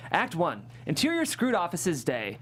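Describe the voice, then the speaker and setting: Animated script narrator reading stage directions. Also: medium pitch, moderate pace, breathy edge.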